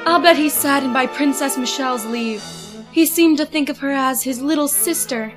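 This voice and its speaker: Concerned schoolgirl , played by a woman: high, ladylike, earnest, over background music. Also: moderate pace, natural voice. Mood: concerned gossip. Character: polite, concerned, gossiping teenage schoolgirl. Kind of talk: fan manga dub acting.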